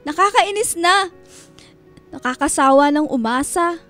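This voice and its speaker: Aggrieved schoolgirl daughter, radio drama, very high voice, tearful protest. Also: lively intonation, unhurried, fairly bright tone, soft background music. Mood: hurt, angry. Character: hurt, resentful schoolgirl daughter. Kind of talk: Filipino radio drama acting.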